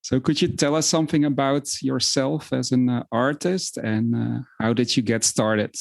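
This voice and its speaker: A man's voice: medium pitch, steady tone, normal speed, creaky. A man hosting a podcast, giving an upbeat introduction and then asking questions, often starting sentences with "well" and calling things amazing. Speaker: podcast host.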